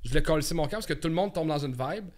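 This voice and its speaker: A Québécois man in his thirties chatting casually and telling stories in an animated way, repeating words for emphasis. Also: on the high side, very fast. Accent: Québécois French. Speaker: guest, stand-up comedian. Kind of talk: casual chat with storytelling.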